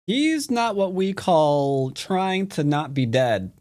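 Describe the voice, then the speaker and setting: Us guy streaming a go game, joking and trash talking, bouncy voice, kinda slow. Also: high-pitched, big pitch swings, a little mellow. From United States. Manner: playful trash talk. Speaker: streamer and Go teacher. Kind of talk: live game commentary.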